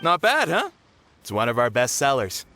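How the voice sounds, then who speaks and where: A man's voice: medium pitch, huge pitch swings, moderate pace, sharp tone, a little hiss or hum, heavily acted. A man playing a hearty, generous food stall cook in an anime game, informal, encouraging and proud. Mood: friendly, proud. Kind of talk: anime video game voice acting. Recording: game music behind voice.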